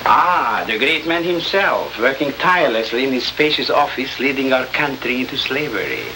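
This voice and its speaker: A scornful Latin American newspaper editor, played by a male radio actor, speaks in a middle-pitched voice with grand, sarcastic flourishes and an undertone of menace. It is old-time radio drama, with clear noise over the recording. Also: lively intonation, normal speed, natural voice. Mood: mocking. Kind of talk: 1950s radio drama acting.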